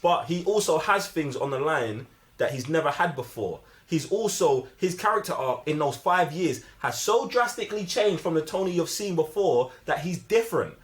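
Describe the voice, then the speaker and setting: A man's voice: a little high, animated, normal speed. A young man with a London accent chatting casually about films in heavy slang, opinionated and emphatic, often repeating himself for effect. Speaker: movie review podcast host.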